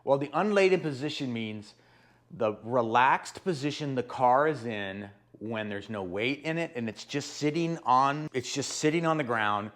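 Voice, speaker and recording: A man with a hoarse, sore-throat voice, casually explaining car repair steps as host of his own channel. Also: mid-range voice, lively intonation, normal speed, fairly bright tone. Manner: chatty, rambling, explains step by step. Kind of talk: casual DIY car tutorial.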